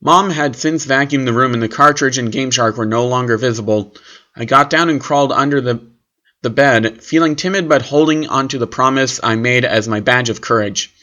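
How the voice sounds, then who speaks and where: A man's voice: medium pitch, moderate pace, pushed and bright. A man reading a scripted first-person horror story as its narrator. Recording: clearly noisy recording with clicks.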